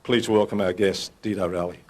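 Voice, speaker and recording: A man's voice: low-pitched, steady tone, brisk, very noisy. A man acting as master of ceremonies, formally introducing a guest speaker at a ceremony.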